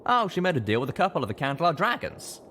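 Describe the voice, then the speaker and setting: A man's voice: fairly high pitch, big pitch swings, quick pace, bright, forceful tone, a little hiss or hum, voice pushed up. A man voicing a casual, plain-spoken male pony companion in an audiobook, blunt and folksy in manner. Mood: matter-of-fact. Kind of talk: acted audiobook dialogue.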